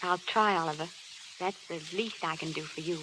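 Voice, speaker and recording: A woman's voice: on the low side, moderate pace, close to an everyday voice. A woman playing a tender, anxious young film actress in an old radio drama, speaking earnestly and hesitantly. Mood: gentle, worried. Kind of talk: 1930s-40s radio drama acting. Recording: noisy old radio recording, long reverb.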